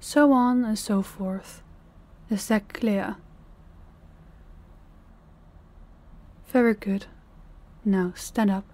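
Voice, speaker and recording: A woman playing a dominant, cold mafia boss in a roleplay audio, speaking to the listener in a deliberate, condescending, commanding way. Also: medium pitch, fairly even intonation, dark tone. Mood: condescending, strict.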